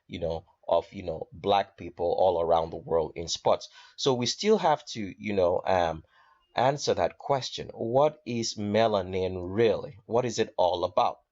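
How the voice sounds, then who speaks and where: A man's voice: lowish voice, darkish tone. A man presenting an informal explanatory talk, emphatic, drawing out and repeating key words. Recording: dull, lo-fi audio.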